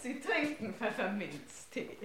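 A woman's voice: mid-range voice, sing-song, takes it slowly, fairly bright tone. An actress playing a haughty, bossy aristocratic lady with affected, gushing politeness in a vintage radio crime comedy. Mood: sugary, gushing. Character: haughty, bossy, stingy aristocratic spinster lady, falsely gracious. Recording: noisy old radio play recording.

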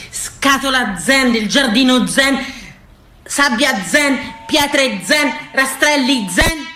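A woman performing a comic autobiographical stage monologue in a theatrical, expressive storytelling manner. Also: on the high side, slight background noise.

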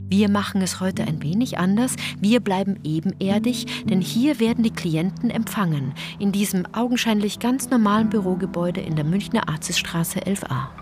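A sardonic female tour guide , played by an actress, leads listeners through a radio play. Her delivery is ironic and theatrical, with medium pitch and music running beneath her. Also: normal speed, few pauses, natural voice. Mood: ironic, storytelling. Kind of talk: German radio play narration. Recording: music under the voice.

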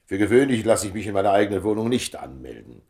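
A man's voice: lowish voice, big pitch swings, fast talker, a little mellow. An actor playing a weary, dryly witty statesman in his fifties in a German radio drama, speaking with emphatic authority.

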